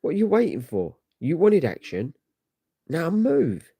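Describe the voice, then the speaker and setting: A man's voice: a little high, wildly swooping pitch, unhurried, creaky, husky, dry recording, clean studio sound, voice pushed up, full character voice. A man voicing an impatient, commanding male superhero leader who barks orders from a comic book. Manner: forceful, barking orders.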